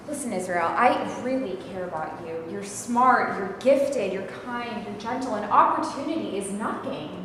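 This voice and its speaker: A woman's voice: fairly high pitch, lightly acted. Woman playing coaxing young girlfriend, noisy echoing hall. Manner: pressing, coaxing. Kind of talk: staged play reading. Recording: echoing hall, distant mic, clear noise.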